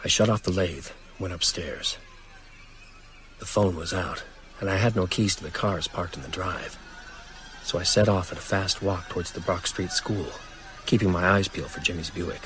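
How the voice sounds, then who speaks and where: An actor playing a grave writer who narrates his own horror story in measured radio drama style. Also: sits low, fairly bright tone, soft background music. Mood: grave, tense. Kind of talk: scripted dramatic first-person narration.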